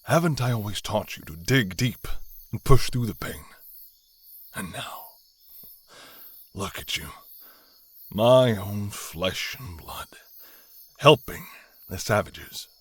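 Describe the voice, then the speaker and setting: A man playing a cold, controlling middle-aged hunter father in a suspense audio drama, scornful and deliberate, with dramatic pauses. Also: fairly low pitch, lively intonation, breathy edge, exaggerated voice. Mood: menacing, scornful.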